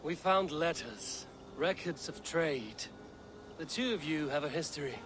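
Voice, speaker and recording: A man's voice: on the high side, animated, measured pace, noisy background. A man playing a steadfast, self-assured Viking warrior in a video game, speaking directly and with control. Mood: businesslike. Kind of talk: dramatic video game acting.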